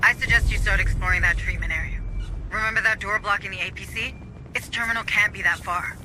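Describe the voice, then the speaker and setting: A woman's voice: medium pitch, fast, close to an everyday voice, poor audio. A woman playing a focused colony administrator in a video game, directing a squad in a businesslike, directive way. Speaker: mission coordinator. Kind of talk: video game dramatic dialogue. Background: background music.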